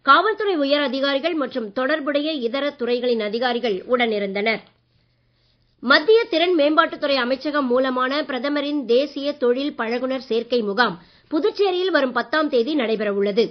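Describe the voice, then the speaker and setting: A woman newsreader reading a regional radio bulletin in a formal, measured way. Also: high-pitched. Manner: measured, even delivery. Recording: dull lo-fi radio broadcast.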